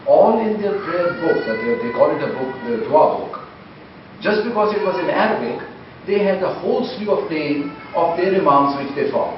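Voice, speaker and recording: A man's voice: a little high, lively intonation, normal speed. A man making his point in a heated discussion with earnest insistence, English not his first language. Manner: earnest, insistent, keeps cutting back in. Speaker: discussion participant. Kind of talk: heated debate. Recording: dull echoey recording from far off, faint background noise.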